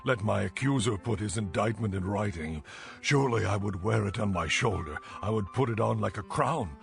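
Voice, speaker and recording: A man's voice: lowish voice, normal speed, gravelly. An actor playing an anguished righteous man solemnly declaring his innocence in a dramatized audio Bible. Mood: defiant pleading.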